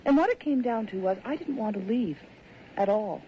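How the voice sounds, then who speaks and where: A woman's voice: on the high side, animated, normal speed, natural voice. An actress playing a sensitive, imaginative young woman writer in a radio drama, with an uneasy manner. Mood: brooding. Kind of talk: radio drama acting with first-person narration. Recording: muffled, noisy old radio drama recording.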